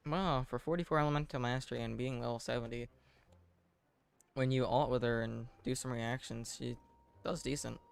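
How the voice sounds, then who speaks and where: A man giving casual live video game commentary with a dry, deadpan, self-deprecating manner. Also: mid-range voice, moderate pace, creaky, smooth.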